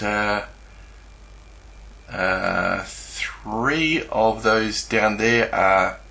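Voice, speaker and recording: A man's voice: fairly low pitch, vocal fry, bright, forceful tone, rough recording. A man giving an unscripted screen walkthrough, hesitant and often searching for words as he goes. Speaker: YouTuber presenting a screen walkthrough. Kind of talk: unscripted screen-share commentary.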